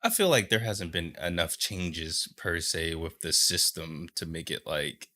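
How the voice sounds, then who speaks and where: A man's voice: low-pitched, animated, a little slow, sharp tone. A man co-hosting a podcast in casual, slangy chat, giving his opinions freely. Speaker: podcast co-host.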